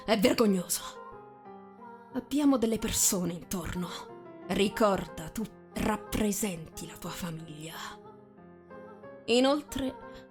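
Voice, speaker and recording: Woman playing smug, snobbish young male aristocrat. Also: mid-range voice. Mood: smug, scolding. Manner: patronising, snobbish, controlled. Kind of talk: dramatic live reading of a visual novel.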